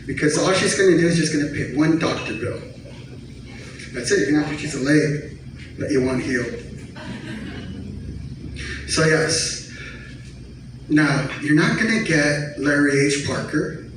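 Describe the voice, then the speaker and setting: A man performing an amateur stand-up comedy set in a conversational, sarcastic, teasing way. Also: on the high side. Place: comedy bar stage. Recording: very echoey noisy venue, distant mic.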